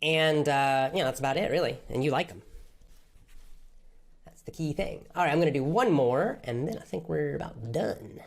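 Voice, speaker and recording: A man's voice: a little high, animated, moderate pace, vocal fry. Guy teaching english live, hyped and motivational, answering chat. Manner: enthusiastic, motivational. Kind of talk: live Q&A with viewers.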